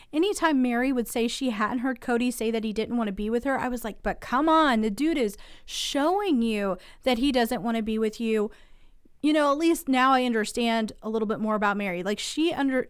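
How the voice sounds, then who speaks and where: A woman's voice: fairly high pitch, clean tone, dry recording, clean studio sound. A woman hosting a casual TV recap channel who is getting over a cold, talking in a chatty, opinionated way and asking viewers to weigh in.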